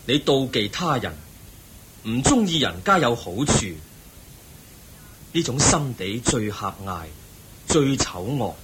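An actor with a Hong Kong Cantonese accent voicing a calm, patient Buddha who gently teaches. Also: male voice, mid-range voice, slow, long pauses, heavy background noise. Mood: admonishing. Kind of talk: Cantonese radio drama acting.

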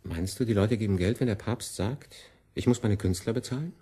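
An actor playing a worldly, condescending cardinal in a radio play, impatient and sardonic. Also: male voice, fairly low pitch, a little fast, slightly rough. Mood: exasperated, blustering. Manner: exasperated, sardonic, swinging from hushed to blustering.

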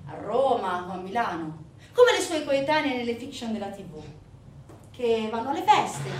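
An actress tells a story as a theatrical monologue. Her pitch moves widely and she pauses for emphasis. She sounds distant in an echoing room, with some noise around her. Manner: dramatic, emphatic, with deliberate pauses. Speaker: stage actress, solo narrator. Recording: roomy, distant theatre recording, background noise.

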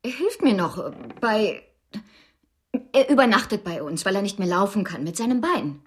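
An actress playing a brisk, slightly flustered mother of two girls in a naturalistic German radio drama. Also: mid-range voice, animated, lightly acted. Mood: flustered, motherly.

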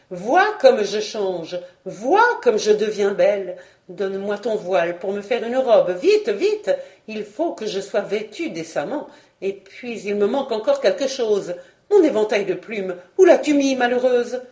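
A woman's voice: medium pitch, lively intonation, normal speed, dark tone, reverberant, close to an everyday voice. A woman voicing a vain, imperious frog fairy queen in a storybook reading, with theatrical, bossy exclamations and a cruel temper. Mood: excited vanity.

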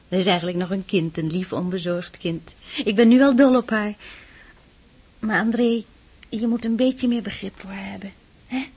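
An actress playing a shy, devout aristocratic sister in a Dutch radio drama, speaking earnestly and tenderly, with pleading admonishment. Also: female voice, on the high side, darkish tone, breathy edge. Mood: gently reproachful.

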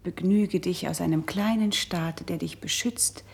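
An actress playing a gentle, wistful noble princess in soft, measured classical verse for radio drama. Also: female voice, fairly low pitch, full character voice. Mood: consoling. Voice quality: breathy, husky with some creak. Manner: soft, measured, elevated delivery.

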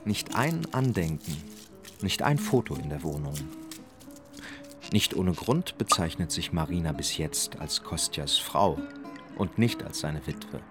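A man's voice: a bass voice, lively intonation, measured pace, over music, exaggerated voice. An actor as the sober, knowing narrator of a radio drama, telling of another man's inner life.

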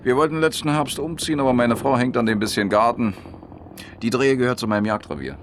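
A man's voice: mid-range voice, quick pace, a little hiss or hum, natural voice. A man acting a chatty, down-to-earth repairman in a German radio play, colloquial, jovial and blunt. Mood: chatty, wry. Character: chatty down-to-earth washing-machine repairman, eager billiards player. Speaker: radio drama actor.

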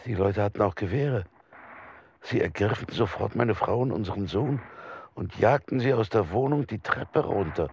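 An actor playing a persecuted husband in a documentary radio drama, recounting his sworn testimony in a formal, measured, precise way. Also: lowish voice, lively intonation, a little mellow, husky, no echo. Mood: sober, grave.

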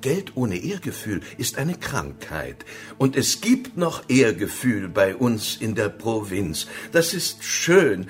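A man's voice: on the low side, sing-song, slow pace. An actor playing an effusive, bluff old soldier turned businessman in a German radio play. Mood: effusive admiration. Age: older.

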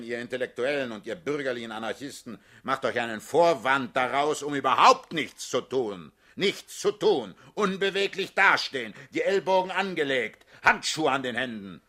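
A man's voice: mid-range voice, pushed and bright. A male actor playing a hard-headed older revolutionary leader in a radio drama, lecturing and condescending. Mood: passionate, contemptuous. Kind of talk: theatrical radio drama acting.

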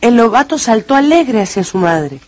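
A man's voice: very high voice, sing-song, normal speed. A man narrating a radio novel in a scripted, dramatic storytelling style.

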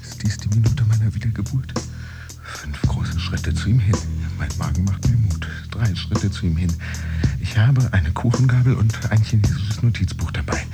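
An actor playing a brooding man who secretly pretends to have a job, in a measured, introspective inner monologue for a radio play. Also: fairly low pitch, gravelly. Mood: tense resolve. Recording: music behind the voice. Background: music.

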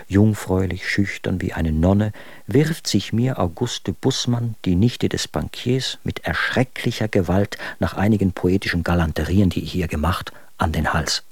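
Gravelly, embittered Romantic man of letters. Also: low voice, dry recording, full character voice. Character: embittered, dismayed Romantic man of letters recounting his disastrous match. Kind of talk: radio drama monologue from old letters.

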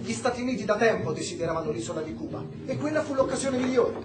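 An outspoken Cuban man in a radio play, pitched high, sardonic and emphatic as he argues. His voice rings in a roomy space, with clear music behind him. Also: animated, quick pace. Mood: sardonic lecturing. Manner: emphatic, ironic, lecturing. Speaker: radio drama actor. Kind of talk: Italian theatrical radio drama dialogue. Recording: roomy stage-like echo.

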